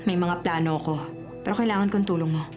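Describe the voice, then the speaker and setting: A woman playing a cunning, determined forger in a Filipino radio drama, brisk and resolute, with a Filipino accent. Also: fairly low pitch, steady tone, lightly acted. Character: cunning, determined female forger seeking justice. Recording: radio drama with loud music underneath. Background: dramatic music.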